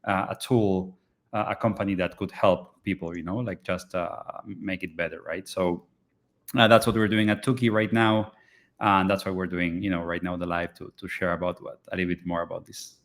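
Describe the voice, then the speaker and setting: Low-voiced hesitant Argentine-born man. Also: steady tone, normal speed. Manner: hesitant, many fillers and restarts. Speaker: immigration startup founder. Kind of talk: live online interview.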